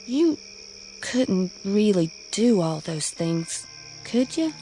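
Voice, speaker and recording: Slow-spoken, earnest young country woman. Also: medium pitch, sing-song, mellow, faint music behind. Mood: anxious, tender. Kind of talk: radio drama acting.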